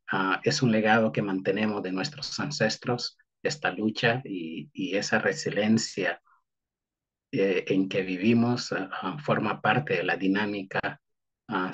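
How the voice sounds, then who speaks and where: Un hombre hondureño cuya lengua materna es el garífuna cuenta en una entrevista una historia, con pausas, muletillas y frases que vuelve a empezar. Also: male voice, low voice, a bit bright, breathy edge. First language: Garifuna. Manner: halting, many fillers and restarts. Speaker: guest historian. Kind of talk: interview answer, historical account.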